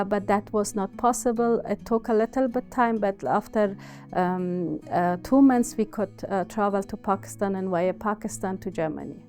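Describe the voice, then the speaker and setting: A woman in her forties from Afghanistan with an Afghan accent gives a personal interview account in hesitant, halting English. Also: mid-range voice, darkish tone. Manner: hesitant, halting phrasing in non-native English. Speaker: interviewee, university researcher and women's refugee supporter.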